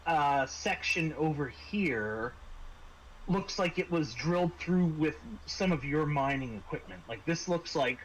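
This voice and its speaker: A man narrating a tabletop roleplaying game as game master, in a halting, improvised way. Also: a little high, expressive, a bit bright, slight background noise, poor audio.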